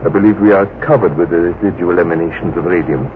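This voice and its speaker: An actor playing a learned expedition professor, measured, precise and grave, in vintage radio drama style. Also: male voice, low voice, close to an everyday voice. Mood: solemn, explanatory. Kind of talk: 1940s-50s radio drama acting. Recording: tinny, noisy old radio broadcast.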